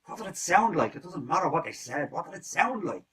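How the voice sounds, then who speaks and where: A man voicing a clever, quick-thinking male rooster in a told story, talking in a raised, insistent and persuasive way. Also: on the high side, very animated, a bit bright, recorded from a distance, pitched above the performer's own voice.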